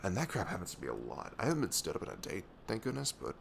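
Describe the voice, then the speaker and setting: A young man playing a caring, reassuring best friend in an intimate ASMR roleplay, soft-spoken and earnest, with audible noise in the recording. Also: low voice, wildly swooping pitch, very fast, heavily acted. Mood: sympathetic, candid. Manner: soft-spoken, often breathy, hesitant, earnest. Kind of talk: ASMR roleplay acting, intimate casual talk.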